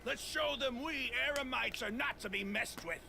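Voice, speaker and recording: A cocky mercenary chief snarls through a gravelly, high-pitched voice. Game audio sits under a clear bed of ambient noise. He taunts and threatens in short bursts. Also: moderate pace. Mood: mocking. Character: boastful male desert mercenary leader, menacing. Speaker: game character.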